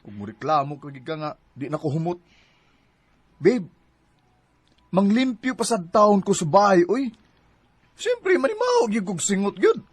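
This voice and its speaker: A man's voice: sits high, sing-song, a little slow, long pauses, dry recording, heavily acted. A man with a Cebuano Filipino accent playing a hurt, fed-up young boyfriend who complains plaintively in a radio drama. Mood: aggrieved. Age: young adult. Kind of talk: Bisaya radio drama acting.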